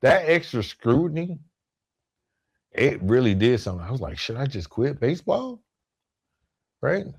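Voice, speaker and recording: A man hosting a solo motivational podcast, speaking emphatically and deliberately, repeating key phrases and checking in with the listener. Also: medium pitch, sing-song, creaky, slightly rough. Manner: conversational check-ins like "right?" and "okay?". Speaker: podcast host.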